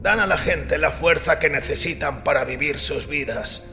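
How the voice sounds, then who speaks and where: A man playing a devout, defensive priest who argues earnestly in a gravelly voice, in a dramatized audiobook. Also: a little high, normal speed, sharp tone. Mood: earnest defence. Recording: long reverberant hall with music behind. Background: music.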